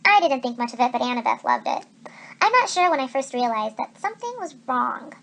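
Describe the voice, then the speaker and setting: A woman reading a children's adventure novel aloud as a teacher would, with expressive narration. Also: high-pitched, brisk.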